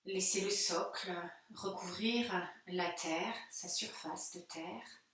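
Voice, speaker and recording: A woman, a yoga teacher giving calm, unhurried and soothing guided relaxation instructions. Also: on the low side, a little hiss or hum. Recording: very echoey room, distant microphone.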